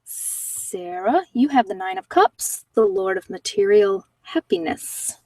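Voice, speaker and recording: A woman's voice: mid-range voice, very animated, takes it slowly, mellow, slightly rough, no echo. Candid woman chatting, slightly distant dry sound. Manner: informal, candid, self-deprecating. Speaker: livestream host giving card readings. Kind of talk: casual rambling chat with live viewers.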